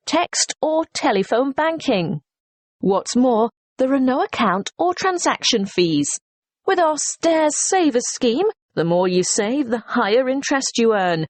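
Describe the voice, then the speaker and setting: A woman playing a helpful investment society employee, reading a scripted customer information message in a clearly articulated, persuasive way. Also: fairly high pitch, dry recording. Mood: informative. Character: helpful female investment society employee promoting savings services.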